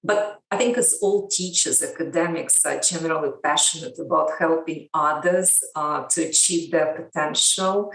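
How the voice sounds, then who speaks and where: Lecturer woman from ukraine, calm and measured, kinda far from the mic, echoey. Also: sits low, gravelly. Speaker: guest, education lecturer. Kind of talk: interview answers. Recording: roomy, distant mic.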